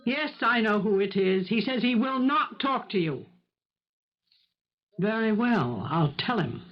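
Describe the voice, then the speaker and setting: A woman playing a cold, scheming housemaid in an old radio mystery. She speaks at a medium pitch with cool, insistent deliberation. The vintage recording is muffled. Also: expressive, a little slow, noisy background, natural voice. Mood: commanding, menacing. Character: cold, relentless, scheming housemaid pressing a guilty employer. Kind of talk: 1970s radio drama acting.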